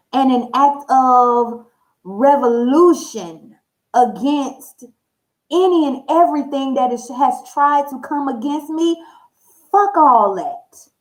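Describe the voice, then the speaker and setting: A woman's voice: high-pitched, low-quality recording. An American woman hosting a channel, talking casually and candidly to viewers in emphatic, salty slang. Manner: candid, emphatic storytelling with acted-out dialogue. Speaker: host of a tarot reading channel. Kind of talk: casual personal talk to viewers.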